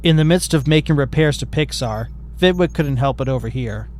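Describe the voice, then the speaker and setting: Male narrator, fan fiction reading, matter-of-fact, mid pitch. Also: a little fast, darkish tone, a little hiss or hum.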